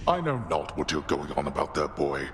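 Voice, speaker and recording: Very deep, imperious underworld god. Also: male voice, sing-song, a little fast, a little hiss or hum. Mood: dismissive. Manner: formal, condescending, archaic phrasing. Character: stern, scornful god of the dead, imperious father. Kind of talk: theatrical video game voice acting. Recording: loud music behind the voice.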